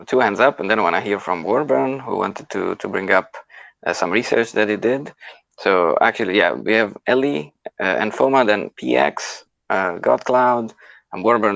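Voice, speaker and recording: Low, creaky-voiced man hosting. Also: fairly bright tone, no echo, low-quality recording. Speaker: host and moderator of an online audio discussion. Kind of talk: casual moderated group chat.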